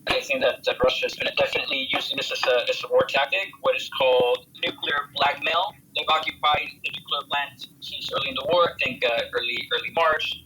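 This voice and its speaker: An American man in his forties gives interview answers in a hesitant way, circling back over words. His voice is mid-pitched and strikingly bright and tense. The harsh field recording carries faint noise. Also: fairly even intonation, fairly quick, hardly any pauses, poor audio. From United States. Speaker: volunteer soldier being interviewed.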